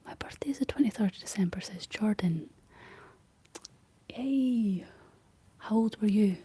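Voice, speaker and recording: A young Scottish woman speaking in a soft, breathy whisper, chatting casually and gently in an ASMR style. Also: mid-range voice, fast talker. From Scotland. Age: young adult. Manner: gentle, rambling chat while painting. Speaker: ASMR streamer reading and answering live chat. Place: living room.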